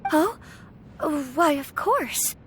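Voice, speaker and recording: A woman's voice: high-pitched, expressive, extremely slow, a little hiss or hum, full character voice. A woman voicing a polite, refined young noble lady in a video game, with courteous delivery. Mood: polite surprise.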